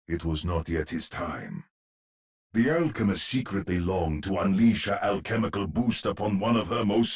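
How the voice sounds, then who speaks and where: A man playing a grave storyteller who narrates a dark Halloween tale for a video game in an ominous, theatrical manner with a husky voice. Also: low voice, heavily acted.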